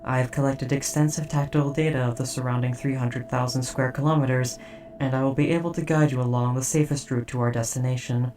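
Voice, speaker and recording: A performer voicing an old, stoic, protective military robot in a formal, measured, literal, deadpan way for a scripted audio roleplay. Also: mid-range voice, distant mic, slight background noise, lightly acted. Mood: calm, protective.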